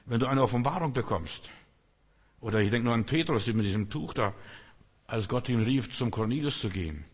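A man's voice: mid-range voice, lively intonation, brisk, slightly rough, long reverb tail. A man preaching a sermon in German, which is not his first language, talking to the congregation in a conversational way and often asking "verstehst du?". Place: church hall.

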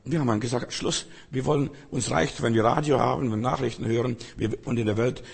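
A hoarse man preaching an earnest sermon full of rhetorical questions. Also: medium pitch, quick pace. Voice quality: raspy, gravelly. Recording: long hall reverb.

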